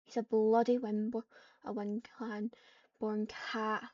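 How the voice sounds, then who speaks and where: A woman's voice: fairly high pitch, level tone, takes it slowly, mellow, slight background noise. A woman playing an accusing, hot-tempered cat in an online roleplay game, speaking bluntly and scornfully. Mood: angry, accusing. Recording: muffled lo-fi mic, distant.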